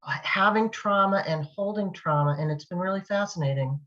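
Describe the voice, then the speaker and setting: A woman's voice: medium pitch, a little fast, a bit bright. A middle-aged woman chatting casually as a guest, thoughtful and a little hesitant in her phrasing. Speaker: guest author and massage therapist. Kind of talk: casual chat. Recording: dull lo-fi call audio.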